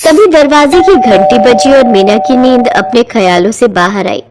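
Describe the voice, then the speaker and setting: A woman narrating a cartoon story in a steady storytelling manner. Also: on the high side, normal speed. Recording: music underneath.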